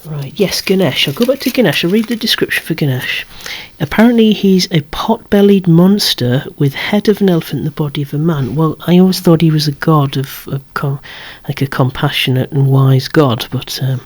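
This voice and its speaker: Casting: hushed, breathy ASMR whisperer, a chatty collector showing off toys with wry, rambling asides. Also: medium pitch, normal speed, few pauses. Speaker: toy collector presenting own collection. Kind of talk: whispered ASMR show-and-tell of a toy collection.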